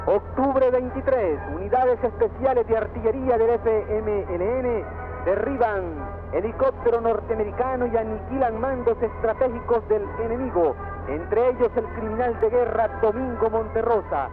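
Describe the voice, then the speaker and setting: A woman's voice: on the high side, normal speed. A woman reading a militant news bulletin with the sound of an old radio broadcast. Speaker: radio newsreader. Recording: tinny narrow-band radio broadcast with music.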